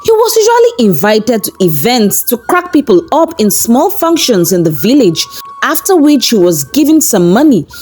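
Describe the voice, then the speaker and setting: Expressive woman storyteller, music behind. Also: a little high, moderate pace, breathy edge. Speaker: storyteller, channel host. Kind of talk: scripted folktale narration. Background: background music.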